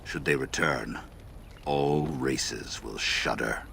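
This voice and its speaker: A man acting as a grave, menacing fantasy figure in a video game cutscene, with gravelly, slow and solemn speech. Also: very deep voice, bright, forceful tone, a little hiss or hum, heavily acted. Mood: grim command.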